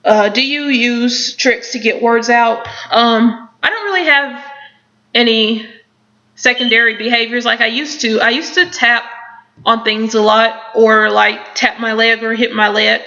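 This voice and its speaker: Young woman with a stutter, gravelly. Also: a little high, steady tone, a little slow, sharp tone, highs cut off. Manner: stutters with repetitions and blocks, many ums, thoughtful. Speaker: person who stutters answering questions. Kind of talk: self-interview, reading questions and answering.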